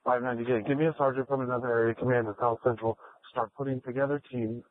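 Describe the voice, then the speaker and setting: A male police officer talks quickly and evenly at a medium pitch. His tactical transmissions come through a muffled scanner radio. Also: steady tone, slight background noise.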